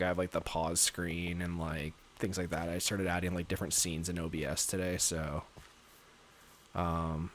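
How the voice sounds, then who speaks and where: A young man with a North American accent streaming a game and chatting casually, rambling with lots of "like" and easy laughs. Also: slight background noise. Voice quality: low natural voice, chill voiceover tone. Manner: rambling, many "like" fillers, laughs often. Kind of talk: casual livestream chat.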